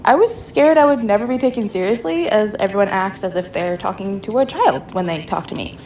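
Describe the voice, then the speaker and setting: A woman's voice: medium pitch, animated, a little fast, a little mellow, a little hiss or hum. A young adult woman, a show listener, giving a prepared personal answer.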